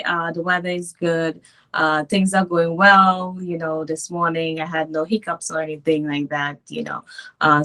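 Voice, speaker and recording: A woman with a fairly low voice, talking easily as a guest on a video call. She chuckles a lot between thoughts and fills pauses with ums. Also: a bit bright. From Trinidad and Tobago. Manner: easygoing, chuckles often, many filler ums. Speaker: guest, domestic violence coach. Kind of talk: casual interview chat.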